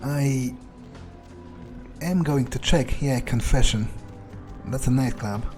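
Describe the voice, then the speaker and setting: Game roleplay: cocky, ruthless vampire, played by a male gamer, mid-pitched, casual halting musing, faint music behind. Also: dark tone, lightly acted. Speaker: gamer roleplaying his character. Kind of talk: in-character video game playthrough.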